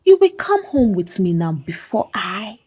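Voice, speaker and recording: A woman voicing a drunk, violent, possessive husband in a booming, menacing story-time voice. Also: very animated, unhurried, darkish tone, husky, exaggerated voice. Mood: cruel possessive menace.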